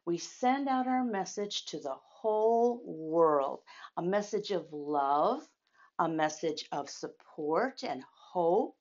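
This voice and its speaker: Slow-paced woman reading to young children. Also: lowish voice, lively intonation, darkish tone, dull audio. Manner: simple, deliberate phrasing addressed to children, with questions. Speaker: children's story-time teacher. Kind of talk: read-aloud storytelling and teaching for young children.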